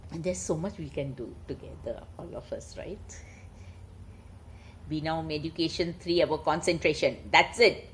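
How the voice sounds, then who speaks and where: A woman's voice: low voice, slight background noise, rough recording. A woman rambling casually and reading stories aloud, emphatic and often repeating words for stress. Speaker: online storyteller and talker.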